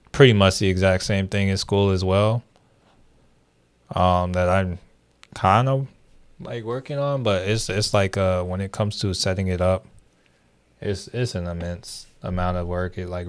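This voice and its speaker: A man's voice: low voice, steady tone, a little slow, clean tone. A young man hosting a livestream, chatting loosely with viewers, full of fillers and restarts. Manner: rambling, many fillers and restarts. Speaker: livestream host. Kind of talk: casual livestream chat with viewers.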